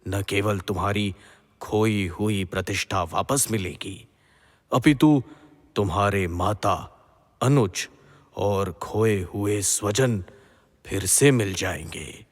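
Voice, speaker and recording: Man acting deep, slow, husky sage. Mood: solemn, encouraging. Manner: solemn, measured, authoritative blessing. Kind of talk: devotional mythological audio drama acting. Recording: long reverberant hall echo.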